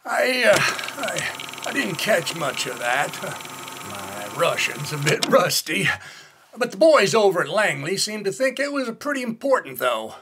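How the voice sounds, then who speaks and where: Blustering US colonel, American accent, high creaky rasp, forceful, swooping radio drama delivery. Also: male voice, very animated, a little slow, background noise, heavily acted. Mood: gruff, doubtful. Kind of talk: Cold War radio play acting.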